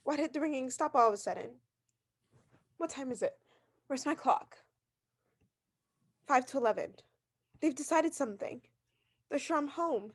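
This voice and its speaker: A woman acting a high-pitched, panicky neurotic woman. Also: expressive, normal speed, pauses often. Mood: anxious, fretful. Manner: agitated, pleading, breaking into outbursts. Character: panicky neurotic bedridden woman, demanding and self-pitying. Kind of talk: 1940s radio thriller acting.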